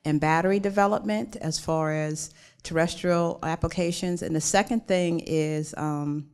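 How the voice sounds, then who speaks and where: A woman's voice: sits low, fairly even intonation, moderate pace, a little mellow, clean tone. A woman judging at a conference forum, asking the presenter questions in a friendly, encouraging way. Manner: friendly, encouraging, loses her train of thought. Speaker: forum judge. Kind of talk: panel questioning. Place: conference hall.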